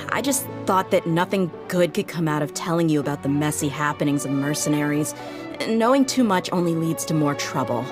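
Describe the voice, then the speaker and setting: A woman's voice: low-pitched, close to an everyday voice. A woman voicing a tough, easygoing female mercenary in a video game, speaking casually. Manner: casual, breezy, slangy. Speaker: mercenary brigade leader. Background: game background music.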